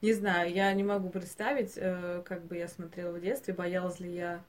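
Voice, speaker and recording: A woman's voice: on the low side, level tone, moderate pace. Woman podcaster, thinking aloud. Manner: hesitant, thinking aloud, often chuckling. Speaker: podcast co-host. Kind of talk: casual discussion of animated films.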